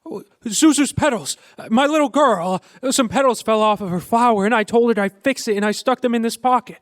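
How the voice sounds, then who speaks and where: A man's voice: very high voice, fairly quick, dry recording. A man acting in a radio play as a bewildered, frantic family man who stammers and pleads. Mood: distraught. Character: frantic, bewildered everyman husband and father.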